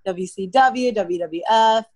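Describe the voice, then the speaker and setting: A young American woman whose first language is Mandarin, telling stories in a relaxed, chatty way as a podcast guest. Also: medium pitch, takes it slowly, dry recording. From United States. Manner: relaxed, chatty storytelling with frequent "like". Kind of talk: casual interview conversation.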